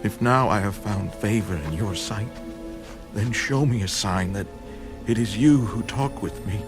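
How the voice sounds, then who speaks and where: A man's voice: on the low side, unhurried, over music, natural voice. A man playing a humble, doubting young Israelite farmer in a dramatized scripture audio drama, earnest and pleading in formal phrasing.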